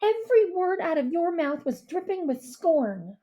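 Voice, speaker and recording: A fierce, righteous teenage girl stands up to a bully in this storybook reading, as a woman acts her out. Her voice sits high and is pushed, yet keeps a soft, mellow tone. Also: sing-song, moderate pace. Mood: accusing. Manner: accusing, intense.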